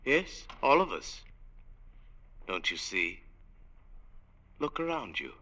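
An actor playing a suave, courtly, inhuman dweller of a department store in a 1940s radio drama. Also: male voice, medium pitch, big pitch swings, slow. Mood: suave, amused.

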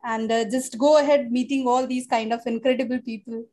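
High-voiced woman interviewer. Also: steady tone, fairly quick, mellow. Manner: reads long, formal questions. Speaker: podcast interviewer. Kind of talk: prepared interview questions.

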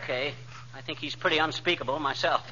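A man's voice: on the high side, heavy background noise, close to an everyday voice. An actor playing a boastful, wisecracking man with a head cold in a 1940s radio comedy, on a lo-fi old broadcast recording. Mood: bristling. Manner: brash, wisecracking, rapid tongue-twister patter. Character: boastful blustery middle-aged man with a cold. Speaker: comic lead in a radio sitcom.

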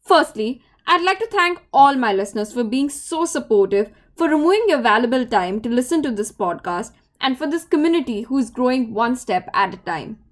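A woman's voice: fairly high pitch, animated, moderate pace, hardly any pauses. A woman hosting her podcast, reading a grateful intro and then asking questions. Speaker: podcast host. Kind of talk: scripted intro, then interview.

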